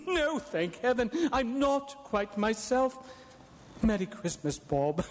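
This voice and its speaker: Exuberant old reformed miser, very high swooping voice, stammering, radio play in a reverberant hall. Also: male voice, very animated, slow pace, exaggerated voice. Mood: merry, generous. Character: elderly miser turned giddy, joyful and generous. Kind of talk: live radio drama acting. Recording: live stage radio broadcast, long hall reverb. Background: music.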